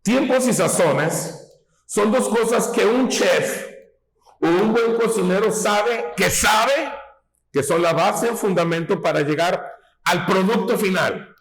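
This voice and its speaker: A man delivers a sermon at an unhurried pace, his voice pitched fairly high for a man. He talks in a homely, teaching way, stopping to check that the congregation follows. The sound carries the echo of a large room. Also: soft background music. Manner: folksy, asks rhetorical questions, teaching tone. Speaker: preacher. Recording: echoey hall.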